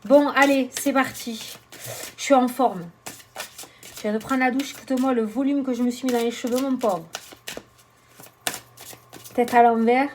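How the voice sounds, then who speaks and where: A woman's voice: fairly high pitch, normal speed, pauses often, noisy background. A woman, chatting animatedly to camera as a tarot reader, swearing and joking about herself. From southern France. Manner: animated, swearing, laughing, self-mocking. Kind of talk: casual chatty vlog.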